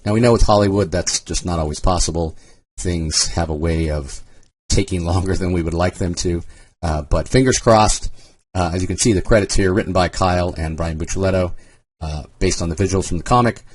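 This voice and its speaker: A man hosting a podcast, speaking casually in a solo introduction with a husky voice. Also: deep voice, fairly even intonation, brisk, dry recording. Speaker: podcast host.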